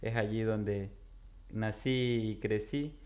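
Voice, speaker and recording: A man in his thirties from Ecuador speaks as a guest on a radio interview. His voice sits fairly low, and he tells his story in a plain, unhurried manner with occasional fillers. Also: steady tone, a little mellow. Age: 30s. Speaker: missionary pastor guest. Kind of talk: interview answers. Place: radio studio.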